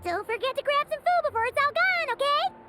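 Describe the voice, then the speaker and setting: Squeaky, excitable little fairy companion. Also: female voice, a little fast, over loud music. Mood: excited, hungry. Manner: bubbly, refers to self in third person. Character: tiny excitable childlike female fairy companion, hungry, speaks of herself by name. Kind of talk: video game character acting.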